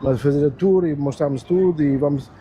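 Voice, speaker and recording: A man in his fifties, born in Germany, chatting in a halting, friendly way as a tuk-tuk tour guide outdoors, speaking with an accent. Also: a little high, highs cut off, a little hiss or hum. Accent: Portuguese with German influence. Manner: halting, repeats words, friendly. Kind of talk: casual chat. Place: outdoors on a Lisbon street.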